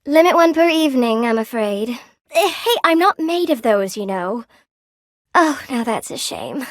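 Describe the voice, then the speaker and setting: A woman voicing a friendly, gentle spider-woman seamstress in a video game, sweet and polite, eager to please and a little lonely. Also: sits high, animated, unhurried, no echo, studio quality. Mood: worried, dismayed. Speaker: game character, shopkeeper. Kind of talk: video game voice acting, short character barks.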